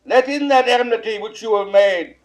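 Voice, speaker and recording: An actor playing a stately French king in a 1960s Shakespeare audio drama, with measured, drawn-out, declamatory phrasing. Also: high-pitched, soft background music, lightly acted. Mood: regal, proclaiming. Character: stately king of France, weighing a treaty.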